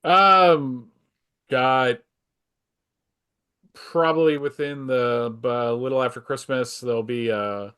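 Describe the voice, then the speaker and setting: A man chatting casually as a podcaster guest, halting and a little pedantic like a devoted fan. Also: mid-range voice, sharp tone, clean tone. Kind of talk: casual chat.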